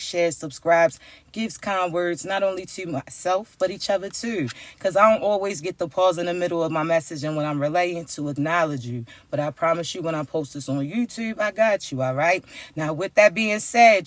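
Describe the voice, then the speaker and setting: A man with a raspy voice that sits notably high for a man, talking straight to his viewers. He sounds earnest and coaxing, often checking in with them as he delivers an uplifting spiritual message. The sound is dry and close, with no room around it. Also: dry recording. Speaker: spiritual channel host.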